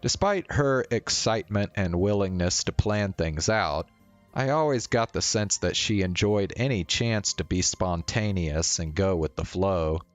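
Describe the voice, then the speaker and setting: Low-voiced man, slow-paced read-aloud. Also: no echo, faint music behind. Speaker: streamer reading a visual novel aloud. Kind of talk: livestream read-aloud of a visual novel, with casual asides.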